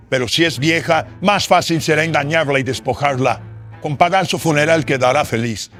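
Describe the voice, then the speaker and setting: A man's voice: a little high, a bit bright, pitched above the performer's own voice, close to an everyday voice. A man playing a greedy, crooked colonial notary in a radio horror drama, gloating and calculating in a theatrical way.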